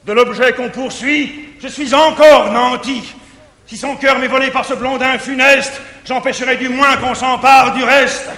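A man's voice: very high-pitched, pushed and bright, full character voice. An actor playing a jealous, bitter middle-aged man, declaiming verse on stage in an old theatre recording. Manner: brooding, declamatory. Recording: echoey 1959 theatre recording.

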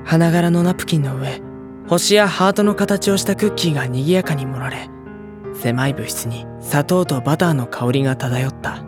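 Soft, reflective schoolboy narrator, played by an actor. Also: mid-range voice, moderate pace, over music, natural voice. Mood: tender, reflective. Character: gentle, reflective teenage schoolboy narrating his club life. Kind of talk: audio drama narration and dialogue.

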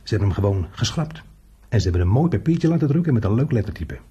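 A man acting an earthy, talkative, hot-tempered working man in a radio play, crude and emphatic, with a husky voice. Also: on the low side, sing-song, quick pace, dark tone, heavily acted. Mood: wry storytelling.

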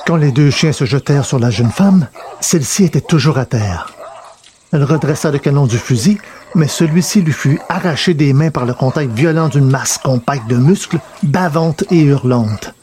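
A man's voice: mid-range voice, animated, normal speed, a little mellow, slight background noise. A man reading a scripted science fiction adventure as narrator.

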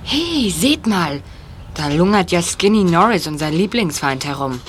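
Woman as a wary, low-toned teen boy. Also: sing-song, a little slow, a little hiss or hum. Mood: alert, sarcastic. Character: wary, excitable teenage boy detective's friend. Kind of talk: German audio drama acting.

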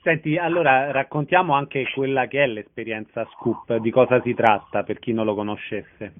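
A man's voice: medium pitch, steady tone, moderate pace. A man hosting a casual radio interview, asking questions in a conversational way. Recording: dull band-limited radio phone-in audio.

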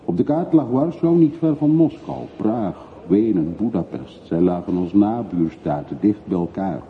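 An actor playing a reserved, reflective middle-aged emigrant returning home in a radio play, speaking in a measured, reticent way. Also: male voice, lowish voice, lively intonation, natural voice. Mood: detached. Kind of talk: radio drama acting. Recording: muffled, noisy old radio play recording.

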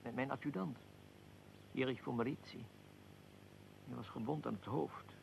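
An actor playing a dignified archduke in a radio drama, recounting events in a measured, formal, deliberate way. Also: male voice, mid-range voice, gravelly, slight background noise. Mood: grave recounting. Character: archduke, dignified aristocrat recounting his own assassination. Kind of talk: radio drama, recollection in interview form.

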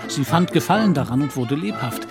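A man's voice: on the high side, animated, brisk, vocal fry. Male narrator over music. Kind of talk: scripted literary radio play narration.

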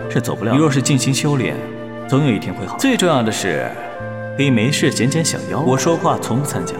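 An actor playing a stern but caring male immortal in a costume fantasy drama, with a protective, scolding manner. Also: medium pitch. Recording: music under the dialogue.

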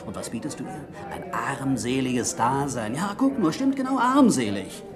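An actor playing a sly, pushy small-time gangster in an old German radio crime play, talking in slangy, cajoling jabs. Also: male voice, medium pitch, big pitch swings, normal speed, music in the background, close to an everyday voice. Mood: needling, persuasive. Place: radio drama studio.

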